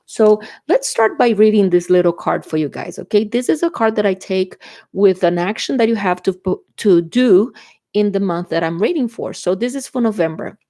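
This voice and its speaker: Brazilian-accented woman, Portuguese native, tarot reader, chatty, reassuring, mid-pitched voice. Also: expressive, moderate pace, dark tone. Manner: chatty, reassuring, checks in with "okay?" often. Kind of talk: casual tarot reading to camera.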